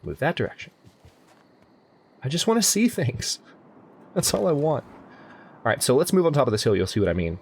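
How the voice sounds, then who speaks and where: A man's voice: mid-range voice, huge pitch swings, moderate pace, creaky, long pauses, darkish tone, a little hiss or hum. A young man casually commenting on a strategy game as he plays, thinking aloud and often correcting himself. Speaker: let's play host. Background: faint game music.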